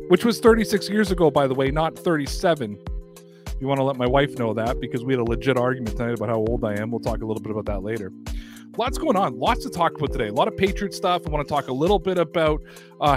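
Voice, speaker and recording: Brief: male podcast host, mid-thirties, brisk hype delivery, bright-pitched, music bed under him. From Canada. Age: 30s. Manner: energetic, plugs and hype asides. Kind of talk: casual sports podcast chat. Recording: music playing behind.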